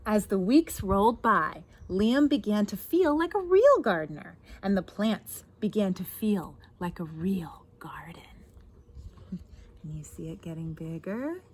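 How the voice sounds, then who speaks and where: A woman reads a picture book aloud expressively, pausing to put guiding questions to young listeners. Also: medium pitch, big pitch swings. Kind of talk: expressive children's read-aloud with asides. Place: outdoors in a backyard garden. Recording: outdoor recording, faint noise, birdsong. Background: birdsong, faint outdoor noise.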